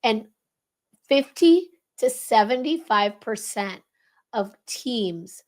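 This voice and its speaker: A woman with a hoarse, crackly voice, briskly explaining a leadership framework as a podcast guest. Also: a little high. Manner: brisk, animated, coaching tone. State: hoarse, voice lost.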